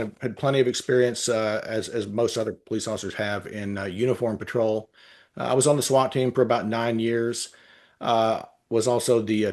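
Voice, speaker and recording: A man in his fifties with a Texan accent, a retired police lieutenant answering interview questions in a measured way, with frequent pauses and restarts. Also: lowish voice. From Texas. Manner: measured, many "uh" fillers and restarts.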